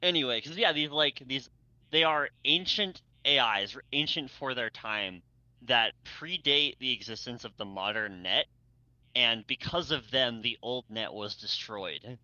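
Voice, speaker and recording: A man chatting casually and excitedly, rambling and stammering as he goes and often breaking into laughter. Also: medium pitch, measured pace, dry recording, dull audio. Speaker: co-host of a fan fiction readalong. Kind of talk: casual enthusiastic group chat.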